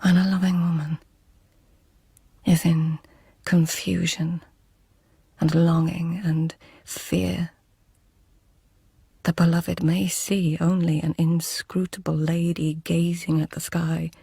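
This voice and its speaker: An actress playing a poised, guarded court lady of old Japan in a radio drama, breathy and husky, with measured, understated delivery. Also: lowish voice, level tone, mellow, exaggerated voice. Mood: reflective, intimate. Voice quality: breathy, husky, near whisper. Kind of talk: radio drama acting, intimate narration and dialogue.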